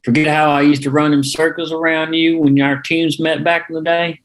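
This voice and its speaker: An actor playing a brash, teasing former athlete at a club door, read at a screenplay table read. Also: male voice, fairly high pitch, little pitch movement, moderate pace, lightly acted, rough recording.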